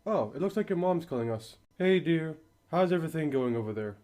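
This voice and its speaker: A man playing a gentle, patient father in an amateur radio drama, calm and reassuring. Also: fairly high pitch, a little fast, lightly acted.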